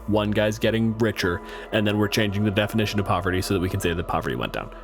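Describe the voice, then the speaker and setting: A man's voice: fairly low pitch, rapid-fire. A man hosting a casual podcast discussion, wry in manner, building his points step by step. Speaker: podcast host.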